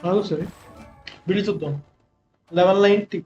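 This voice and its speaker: A male game streamer with a Telugu accent chats casually as he plays. His voice is high and extremely quick, heard through a dull microphone with faint game music. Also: a little mellow. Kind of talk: casual live gameplay commentary.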